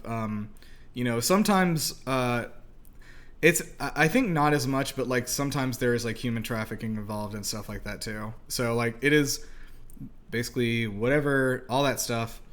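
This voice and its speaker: A man hosting a movie reaction show, explaining things in a halting, informal, conversational way as he thinks aloud. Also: mid-range voice, fairly bright tone.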